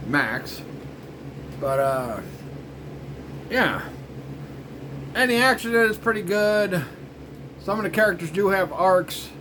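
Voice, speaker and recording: Higher-voiced man over noisy hum. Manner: informal, repetitive filler phrases like "you know" and "frickin'". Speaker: host of a cartoon review show. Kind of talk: casual rambling commentary.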